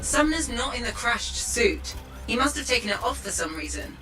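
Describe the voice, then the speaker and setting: An actress playing a focused, businesslike mission operator who guides a pilot in a video game. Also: female voice, on the high side, very animated, moderate pace. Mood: focused, concerned. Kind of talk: scripted video game dialogue. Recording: loud game music behind voice.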